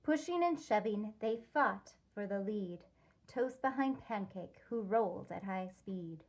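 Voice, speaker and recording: A woman teacher reading a rhyming children's story aloud, expressively and with deliberate, careful wording. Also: mid-range voice, animated.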